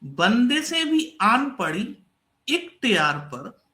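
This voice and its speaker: A man's voice: extremely high pitch, very animated, sharp tone. Young man with a sore throat reciting ghazals. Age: young adult. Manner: recites each line twice, slow and deliberate. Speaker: ghazal poet.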